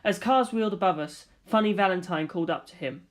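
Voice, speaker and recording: A narrator reading a fantasy story aloud in a scripted storytelling style, at a medium pitch. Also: a little fast. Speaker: audiobook narrator.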